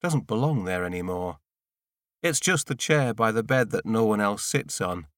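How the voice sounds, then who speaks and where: A man reading a first-person literary audiobook in a measured way, in short deliberate phrases. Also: on the low side, lively intonation, fairly bright tone, dry recording, clean studio sound. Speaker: audiobook narrator.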